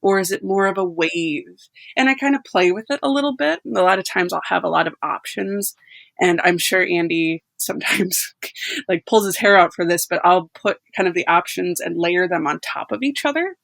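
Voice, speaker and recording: Chatty young woman with gravelly edge. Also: lowish voice, normal speed, a bit bright, no room sound. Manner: chatty, laughing easily. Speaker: sound designer. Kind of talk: casual podcast chat.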